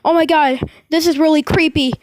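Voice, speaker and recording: A woman's voice: sits high, steady tone, fairly quick, no room sound, clean studio sound. A woman hosting a reaction to a scary video, exclaiming dramatically and repeating herself.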